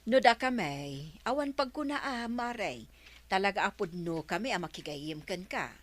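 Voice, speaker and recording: A woman's voice: medium pitch, animated, normal speed, natural voice. A woman acting a chatty, prying village woman in an Ilocano radio comedy drama.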